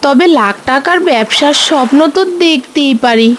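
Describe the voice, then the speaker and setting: A woman voicing a hopeful, practical village wife in an animated folk tale, coaxing and explaining. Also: sits high, normal speed, slight background noise, close to an everyday voice.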